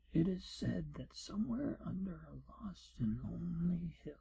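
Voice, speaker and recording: Guy doing a pompous shifty wizard examiner, asmr roleplay, very dark breathy half whisper, super creaky. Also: sits high, exaggerated voice, poor audio. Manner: pompous, wry, evasive, theatrical storytelling. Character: pompous, shifty male wizard proctor giving an exam. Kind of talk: ASMR fantasy role play.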